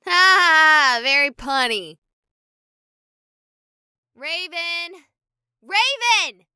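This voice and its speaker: A woman's voice: extremely high pitch, animated, extremely slow, bright, forceful tone, dry recording, studio quality, exaggerated voice. An actress playing a sarcastic, chatty young woman streamer who talks to her viewers. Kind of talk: voiced game dialogue.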